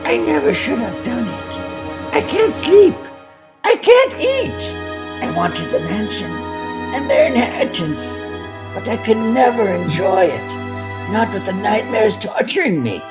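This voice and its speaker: A woman playing a hundred-year-old guilt-ridden villainous great aunt in a gothic audio drama, raspy and anguished. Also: mid-range voice, sing-song, over music. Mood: anguished, confessing. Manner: slow, theatrical, anguished delivery.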